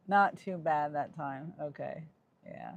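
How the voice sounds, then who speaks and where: A middle-aged woman with a Midwest American accent, chatting on a livestream from her car and reading viewer chat aloud. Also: sits low. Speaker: gig worker livestreamer. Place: inside a car. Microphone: phone on a dashboard mount.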